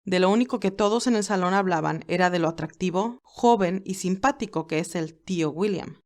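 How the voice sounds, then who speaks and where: A woman voicing a loyal, formal male business aide in an audio story, measured and advising. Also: lowish voice, a little mellow, lightly acted. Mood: teasing, advising.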